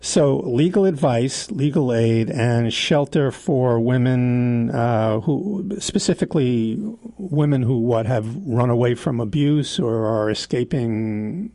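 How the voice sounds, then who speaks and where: A man's voice: mid-range voice, slow, hardly any pauses, mellow, dry recording. A man hosting a radio interview, asking probing questions that he often restarts mid-sentence.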